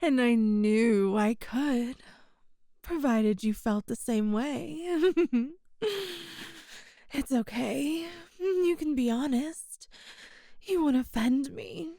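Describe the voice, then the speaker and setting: A woman's voice: high voice, warm tone, no room sound, studio quality, full character voice. A woman playing a flirtatious, possessive boss smitten with an employee, speaking in a coy, teasing way in an intimate ASMR audio roleplay. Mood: smitten, intense. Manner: slow, coy, teasing, breathy pauses.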